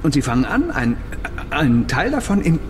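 Stammering, higher-voiced nervous male captive. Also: huge pitch swings, noisy background. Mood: sly, lecturing. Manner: halting, stammering, repeats himself. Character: nervous, evasive captive leak-platform man, wheedling and self-important. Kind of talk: German radio drama acting.

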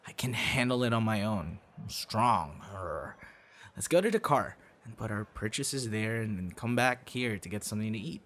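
A man's voice: fairly low pitch, animated, moderate pace, a bit bright. A young man acting a teasing, friendly boyfriend figure in an intimate, casual audio roleplay. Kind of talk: ASMR boyfriend roleplay, intimate one-sided dialogue.

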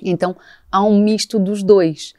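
A woman's voice: medium pitch, measured pace, dry recording, studio quality. Woman with a mixed Portuguese-Brazilian accent. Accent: Portuguese, shifting toward Brazilian Portuguese. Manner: animated, gestures with her hands, does mock voices for quotes. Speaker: guest. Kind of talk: casual conversational interview. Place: cosy caravan studio set. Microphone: studio mic on a boom arm, with headphones.

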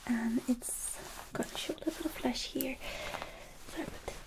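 A young woman speaking in a breathy, hushed whisper in short instructional phrases, as in an ASMR roleplay, close to the microphone. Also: sits high, a little slow, poor audio. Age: young adult. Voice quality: breathy, mostly unvoiced whisper. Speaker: ASMR creator playing a stylist in a makeover roleplay.

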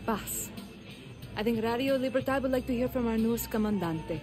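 A woman's voice: fairly high pitch, fairly quick, music in the background, lightly acted. An actress playing a bold young rebel woman with a brash, confident delivery.

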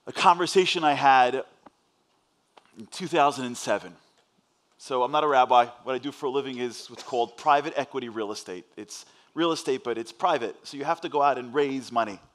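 A man with a New York accent giving a fast-paced inspirational speech on a large stage, telling a story and acting out the dialogue. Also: mid-range voice, fairly bright tone. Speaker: motivational speaker.

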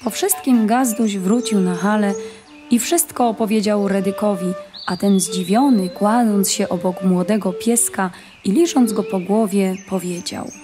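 A woman narrator reads a scripted storybook tale for an audio play. Her voice sits at a middle pitch. Music runs clearly behind her. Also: unhurried.

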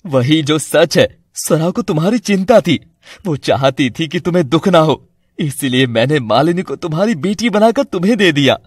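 Casting: concerned cartoon dad, played by a man, protective, persuasive, husky, close dry sound. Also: fairly high pitch, lively intonation, moderate pace, no echo. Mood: earnest, explaining. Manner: earnest, persuasive. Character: earnest, protective Indian husband and father.

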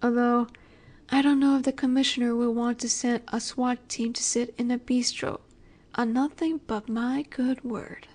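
A woman playing a flirty, confident female mafia boss in a roleplay audio drama, coy and teasing with breathy asides. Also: a little high, steady tone, moderate pace, a little mellow, natural voice. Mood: coy, flirtatious.

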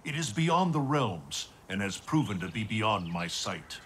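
An actor playing an ancient, protective guardian god in a fantasy game, speaking in a formal, stately, deliberate way. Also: male voice, on the low side, very animated, slight background noise. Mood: solemn, counseling.

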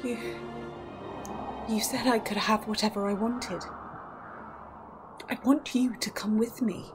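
A woman's voice: on the high side, steady tone, takes it slowly, music in the background. A woman voicing a prim, anxious and earnest male angel in a comic dub, proper and fretful in delivery. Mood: hurt, pleading.

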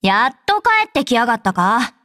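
A woman voicing a brash, tsundere young female android soldier in an anime game, with rough, blunt, tomboyish speech. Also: on the high side, big pitch swings, moderate pace, pushed and bright, no room sound, studio quality. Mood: brusque, confident. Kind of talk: Japanese anime game character voice lines.

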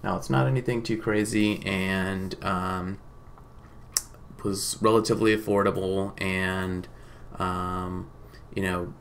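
A man's voice: low-pitched, steady tone, slow, creaky, a little hiss or hum. A man in his thirties giving an informal marketing case study to camera in a casual, hesitant way. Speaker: marketing company presenter.

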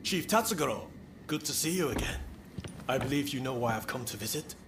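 Man playing a courteous admiral, lively in pitch. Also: a little fast, background noise, close to an everyday voice. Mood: polite. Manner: formal, composed, polite. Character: courteous, dutiful male Japanese naval admiral.

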